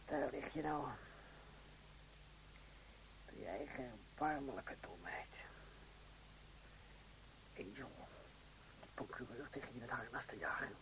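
A man's voice: mid-range voice, lively intonation, unhurried, vocal fry, pauses often. An actor playing a witty, mocking young man in a vintage radio play, speaking with sardonic theatrical irony. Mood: wry self-reproach. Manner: sardonic, theatrical, talking to himself and others. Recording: muffled, hissy old radio drama recording.

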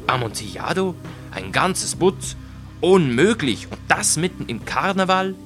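A man's voice: a little high, very animated, measured pace, fairly bright tone, faint music behind, pitched above the performer's own voice. A man voicing a drunken, boastful Italian nobleman in a story, exclamatory, impatient and slighting of rivals. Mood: astonished, eager. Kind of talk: dramatised audiobook reading.

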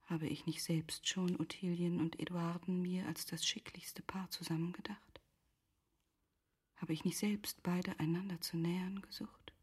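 Grieving noblewoman for radio drama: low-set, very husky, resigned, self-reproaching, measured literary diction. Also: level tone, a little mellow. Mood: anguished self-reproach. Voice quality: very husky, breathy, half-whispered.

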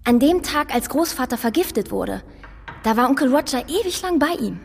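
Little girl sleuth, blunt, precocious, higher voice, reverberant room, radio crime drama. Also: lively intonation, normal speed, vocal fry. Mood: blunt, secretive. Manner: blunt, matter-of-fact, unsentimental. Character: precocious nosy little girl playing detective.

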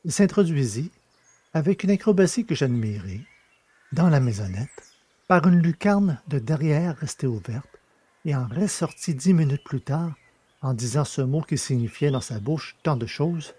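A man reading scripted literary narration as an audiobook narrator, with a mellow, slightly husky voice. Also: fairly high pitch, animated, normal speed, no room sound.

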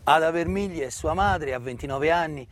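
A man delivering scripted theatrical narration of history as a stage storyteller, in a measured way with deliberate pauses. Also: on the high side, slight background noise. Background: live instrumental music.

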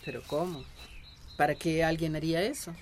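An actress playing a gentle, hesitant village gardener in a radio drama. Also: female voice, deep voice, steady tone, normal speed, a little hiss or hum, close to an everyday voice. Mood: mild, concerned. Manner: mild, homely, agreeable.

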